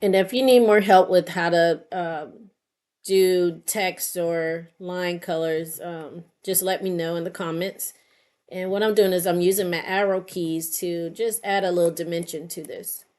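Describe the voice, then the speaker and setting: A woman's voice: on the low side, steady tone, measured pace, darkish tone. A middle-aged woman narrating an informal step-by-step tutorial, unscripted and thinking aloud as she works. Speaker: craft tutorial host.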